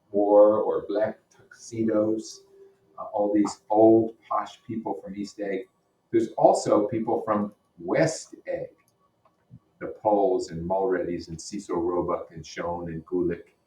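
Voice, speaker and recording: A man's voice: fairly low pitch, dark tone. A man reading a novel aloud as a teacher and explaining it as he goes, often putting questions to his listeners. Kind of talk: read-aloud with explanatory comments. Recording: roomy echo, distant microphone.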